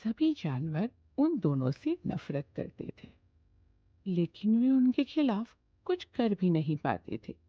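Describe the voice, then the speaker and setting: A woman's voice: medium pitch, dry recording, muffled recording. A woman narrating a children's cartoon story.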